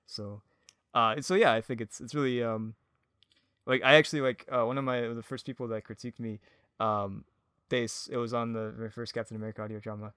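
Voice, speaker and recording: Hesitant, casual man, mid-pitched voice. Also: steady tone, a little fast, fairly bright tone, clear, resonant tone, dry recording, studio quality. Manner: hesitant, rambling, peppered with "like". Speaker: audio drama creator, podcast speaker. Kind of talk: casual podcast chat.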